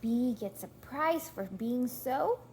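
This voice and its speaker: A woman reading a storybook aloud to a toddler in an encouraging, sing-song way, pausing to prompt and praise. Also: a little high, animated, darkish tone, a little hiss or hum. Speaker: parent reading aloud to a young child.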